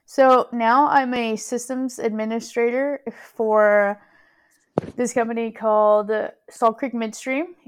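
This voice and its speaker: A woman's voice: medium pitch, clean tone. A woman in her twenties co-hosting a casual podcast, chatty with frequent laughs and fillers. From California. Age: 20s. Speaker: podcast co-host and interviewee. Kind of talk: casual conversational interview.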